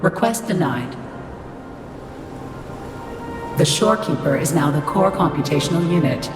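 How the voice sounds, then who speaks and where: A woman's voice: sits low, lively intonation, a little fast. A woman voicing a cold, impersonal computer system in a video game, speaking formally and with detachment. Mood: detached, formal. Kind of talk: scripted game voice acting. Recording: echoing hall reverb, music behind.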